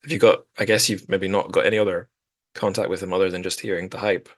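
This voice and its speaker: A man's voice: deep voice, flat delivery, quick pace, dry recording, studio quality. A man hosting a podcast, talking casually about books in a rambling, self-correcting way. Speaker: podcast host. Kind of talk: casual literary discussion.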